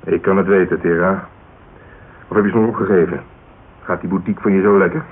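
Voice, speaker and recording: An actor playing a jaded, blunt, middle-aged old-school police detective in a radio drama, grumbling and needling. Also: male voice, deep voice, steady tone, quick pace, lightly acted. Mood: pressing. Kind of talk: naturalistic radio drama dialogue. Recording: tinny, noisy old radio recording.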